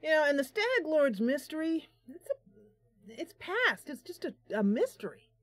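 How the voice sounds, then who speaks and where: A woman plays a plain-spoken village shopkeeper from a fantasy role-playing game. He talks reluctantly and haltingly, musing as he goes, in a dry, close sound. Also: high voice, big pitch swings, dry recording, pitched above the performer's own voice. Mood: uneasy, musing. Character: gruff, grudging male trading post keeper, plain-spoken villager.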